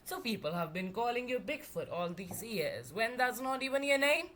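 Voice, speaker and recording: A woman's voice: on the high side, sing-song, moderate pace, fairly bright tone. A woman voicing a determined, nosy young female reporter in a children's storybook. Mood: indignant. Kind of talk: children's storybook read-aloud.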